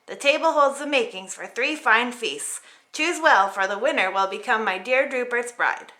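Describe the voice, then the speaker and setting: A woman's voice: on the high side, normal speed, pushed and bright. A woman voicing a bossy, curt queen in a children's storybook, imperious and dismissive. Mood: pompous, formal. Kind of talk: children's storybook read-aloud.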